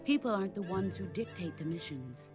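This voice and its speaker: An actress playing a calm, commanding veteran female soldier in a video game, speaking in a measured, solemn, instructive way. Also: on the low side, lightly acted. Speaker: military mentor over radio. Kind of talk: dramatic video game voice acting. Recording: music behind.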